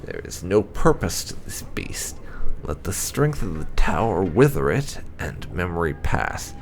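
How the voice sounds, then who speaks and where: Male game channel host, low gravelly voice, theatrical lore reading with music underneath. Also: big pitch swings, measured pace, whispered.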